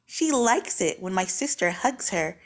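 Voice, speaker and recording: Woman as an excitable, very animated fancy little girl. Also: medium pitch, unhurried. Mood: pleased. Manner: dramatic, precise, explains words. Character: excitable young girl who loves fancy words. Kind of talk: storybook read-aloud, first-person child narrator.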